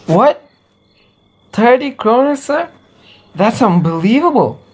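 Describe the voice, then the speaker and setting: A man's voice: very high voice, very animated, slow, husky, heavily acted. A man playing a ragged, hungry vagabond rat trap seller in an amateur radio drama, brooding and cynical. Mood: astonished.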